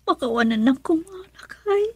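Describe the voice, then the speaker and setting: High-voiced, pleading Filipino wife. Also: female voice, lively intonation, moderate pace, no echo. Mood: remorseful pleading. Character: remorseful wife and mother pleading to be forgiven. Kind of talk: Ilocano radio drama acting.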